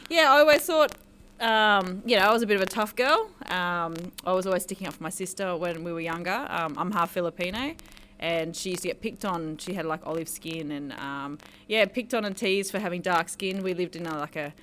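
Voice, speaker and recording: Chatty Australian woman, low-pitched voice. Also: fairly quick, fairly bright tone, a little hiss or hum. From Australia (New South Wales, raised in Queensland). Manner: chatty, rambling, many fillers, laughs easily. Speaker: guest, mixed martial arts fighter. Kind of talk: casual interview chat.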